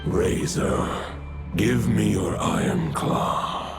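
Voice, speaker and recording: An actor playing an ancient, vast male wolf god who speaks in a grave, archaic, measured way, in a video game. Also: very animated, music in the background, exaggerated voice. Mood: commanding. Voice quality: deep gravelly voice.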